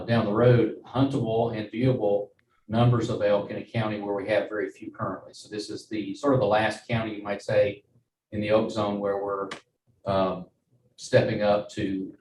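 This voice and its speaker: Flat-toned man speaking in a roomy space. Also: lowish voice. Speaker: wildlife agency staff presenter. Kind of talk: formal meeting presentation. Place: meeting room. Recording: roomy, distant mic, faint noise.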